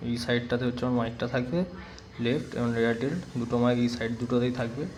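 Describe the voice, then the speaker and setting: A man's voice: medium pitch, level tone, fast, darkish tone. A man giving a casual hands-on product demonstration as a vlogger, explaining plainly step by step. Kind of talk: casual hands-on tutorial narration.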